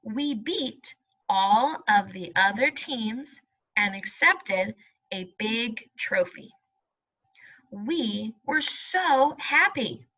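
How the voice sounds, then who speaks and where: Bright-voiced woman teacher, slow and clear-spoken. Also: fairly high pitch, lo-fi sound. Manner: encouraging, reassuring, checks in with questions. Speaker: elementary school teacher. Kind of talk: read-aloud lesson with instructions to pupils.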